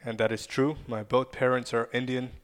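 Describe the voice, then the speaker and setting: Man, from ukraine, podcast guest, chatty, laughs often, mid-pitched voice. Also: level tone, normal speed. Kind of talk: casual podcast chat.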